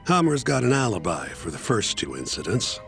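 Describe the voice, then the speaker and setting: A brisk, unsentimental defense lawyer in a video game dub. His male voice is gravelly and lively in its swings, and he talks bluntly and matter-of-factly over background music. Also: on the low side, full character voice. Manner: blunt, colloquial, matter-of-fact. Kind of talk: English game dub dialogue.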